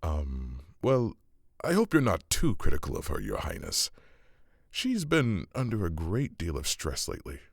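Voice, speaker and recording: An actor playing a casual, teasing companion to a prince in an audio drama. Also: male voice, deep voice, huge pitch swings, unhurried, vocal fry, dry recording, full character voice. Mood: hesitant. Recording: noticeable background noise.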